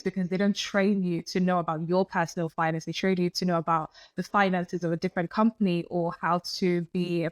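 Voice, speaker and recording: A young woman in her twenties who grew up in Nigeria speaks as a podcast guest, explaining money matters fluently and peppering her talk with "like". Her voice sits on the lower side, and the sound is close and dry with no room around it. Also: dry recording, lo-fi sound. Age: 20s. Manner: fluent, frequent "like" fillers. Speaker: guest, personal finance content creator. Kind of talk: conversational interview answers.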